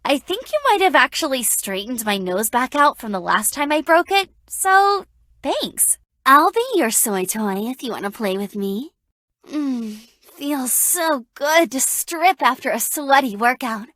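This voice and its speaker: Bright, high-voiced sporty young woman. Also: big pitch swings, a little slow, no room sound, clean studio sound, heavily acted. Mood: indignant. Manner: playful, teasing. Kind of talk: scripted dating game character dialogue.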